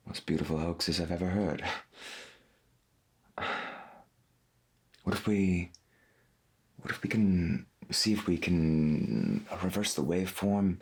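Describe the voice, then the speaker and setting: A man playing a gentle, wondering veteran 1990s AM radio host in a soft-spoken ASMR roleplay, husky and folksy, musing in a hesitant murmur. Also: sits low, heavily acted. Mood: awed, wondering. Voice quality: husky, gravelly.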